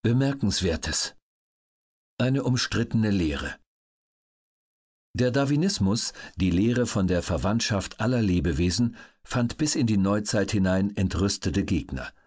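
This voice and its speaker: A man reading scripted documentary narration for an audiobook in a measured, announcer-like way. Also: on the low side, animated, darkish tone, slightly rough.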